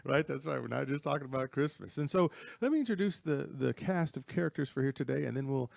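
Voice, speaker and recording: A man's voice: medium pitch, quick pace, creaky, a little mellow, dry recording. A man hosting a casual movie talk show from a studio, rambling and correcting himself as he goes, with frequent chuckles. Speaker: host. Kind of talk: casual podcast chat.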